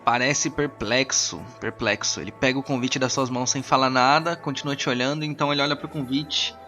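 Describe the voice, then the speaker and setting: A man's voice: medium pitch, steady tone, a little fast, pushed and bright, soft background music. A man with a Brazilian accent improvising narration as game master, conversational, often repeating himself as he searches for words.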